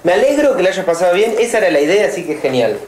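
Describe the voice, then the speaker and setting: A man's voice: sits high, big pitch swings, very fast, darkish tone. An echoey room with slight noise carries an actor as an easygoing Argentine man. He is a chatty businessman in a radio play. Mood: friendly. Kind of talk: radio drama acting.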